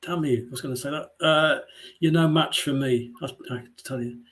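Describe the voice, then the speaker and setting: Guy in a pun battle, kinda high voice, chuckles a lot, says uh a lot. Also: brisk, fairly bright tone. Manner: amused, chuckling, hesitant with fillers. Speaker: pun contest competitor. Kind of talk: quick-fire pun banter.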